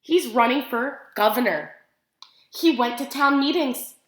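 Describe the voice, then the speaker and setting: A woman teacher reads a picture book aloud to preschoolers in an animated, expressive way, using simple phrases and putting questions to her young listeners. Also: high voice, a little slow. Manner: animated, expressive, simple child-directed phrasing with gasps for effect. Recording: echoey room sound.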